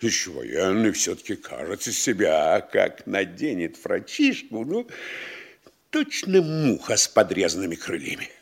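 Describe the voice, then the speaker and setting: An actor playing a blustering, anxious middle-aged provincial mayor in a classical comedy, grumbling in drawn-out, emphatic phrases. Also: male voice, deep voice, big pitch swings, vocal fry, husky, exaggerated voice. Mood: grumbling, puzzled. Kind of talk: Russian classical stage comedy radio acting.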